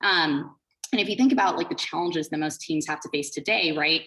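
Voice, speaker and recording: A woman's voice: deep voice, fairly quick, fairly bright tone, rough recording. A woman co-founder giving a conversational presentation, explaining things informally with plenty of "like" and "you know". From Switzerland and the Bahamas. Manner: informal, explanatory, peppered with 'like' and 'you know'. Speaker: startup co-founder presenting as guest speaker.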